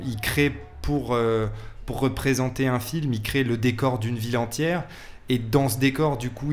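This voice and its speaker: Mid-pitched man explaining in casual interview. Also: slight background noise. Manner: explanatory, restarts and repeats words. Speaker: film set decorator, radio guest. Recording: music playing behind the voice. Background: music.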